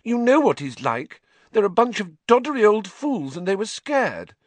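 A man voicing a fierce, bookish schoolgirl in an audiobook, speaking high and shrill. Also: very animated, moderate pace, dry recording. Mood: shrill, upset.

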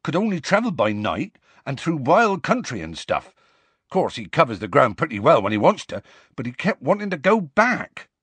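A huge half-giant man from a storybook, soft-hearted and anxious. He pleads earnestly in rustic dialect, his pitch lifting and swooping, recorded close and dry. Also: huge pitch swings, moderate pace, husky, no room sound, heavily acted. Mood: sheepish.

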